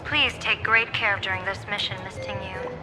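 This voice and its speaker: A woman's voice: medium pitch, steady tone, normal speed, close to an everyday voice. A woman voicing an enigmatic, shrewd general and fortune-teller in a video game, poised and formal with a teasing, imperious streak. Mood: solemn. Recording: loud music over the voice.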